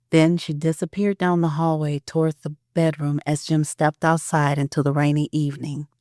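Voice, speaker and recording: Solemn audio drama narrator, medium pitch. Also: darkish tone.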